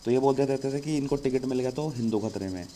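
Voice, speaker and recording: Male channel host, casual explainer, mid-pitched, conversational, light background noise. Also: fast, warm tone. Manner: conversational, keeps saying "friend" to viewers.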